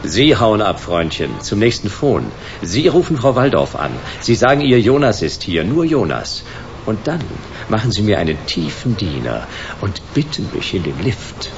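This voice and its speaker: A hard-boiled private detective in a slightly dull-sounding radio drama. He is laconic and sardonic, a wry, deadpan noir figure who does not let go. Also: on the low side, moderate pace, soft background music. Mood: curt, commanding.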